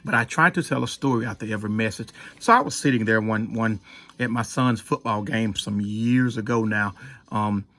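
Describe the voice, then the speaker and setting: A middle-aged man with a Southern US accent and a raspy voice, telling stories as a pastor in an emphatic, repetitive, folksy preaching style. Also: on the low side, normal speed, a bit bright. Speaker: pastor and storyteller. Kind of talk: animated storytelling sermon.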